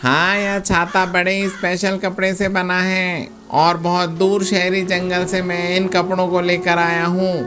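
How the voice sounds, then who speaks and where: A woman voicing a male bird in a children's cartoon, with a brisk, matter-of-fact manner. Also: fairly low pitch, little pitch movement, background noise, close to an everyday voice. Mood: pushy. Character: male cartoon birds: friendly parrot, pushy crow seller. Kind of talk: Hindi children's cartoon dubbing.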